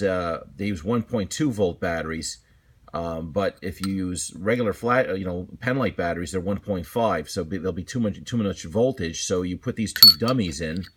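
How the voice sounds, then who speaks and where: A man's voice: sits low, fairly bright tone, slight background noise. A man presenting a casual, unscripted repair walkthrough in a rambling, self-deprecating, colloquial way. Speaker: hobbyist presenter.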